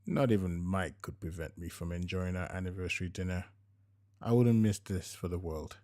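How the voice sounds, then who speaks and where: Middle-aged husband for a story, read by a man: low voice, soft-spoken, tender, a little awkward. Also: normal speed, natural voice. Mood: tender, reassuring. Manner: gentle, soft murmuring.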